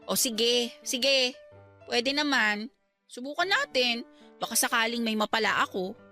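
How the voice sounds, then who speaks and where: A woman's voice: fairly high pitch, animated, measured pace, pushed and bright, faint music behind. A woman playing a guarded, skeptical young single teacher in a Tagalog radio drama, talking in a conversational way. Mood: hesitant, agreeing.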